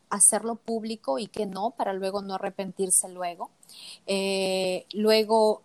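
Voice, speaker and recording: A woman's voice: mid-range voice, no room sound. A woman guest with a Latin American Spanish accent, a native Spanish speaker, talking thoughtfully in an interview with many fillers. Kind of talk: casual interview chat.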